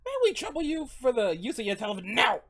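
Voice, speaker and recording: A performer acts out a courteous, flowery traveller for a comic dub. The voice is pitched high and swings theatrically, genteel and ornate. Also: big pitch swings, quick pace, fairly bright tone, breathy edge, exaggerated voice. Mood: urgent, courteous. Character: polite, flowery, theatrical traveller pleading for help.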